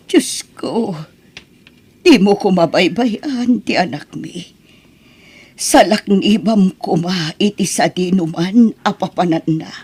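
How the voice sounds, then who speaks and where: A woman playing a worried, pleading mother in a family radio drama, with a husky, lamenting delivery. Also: mid-range voice, expressive, takes it slowly, darkish tone. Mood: distressed. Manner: anxious, lamenting.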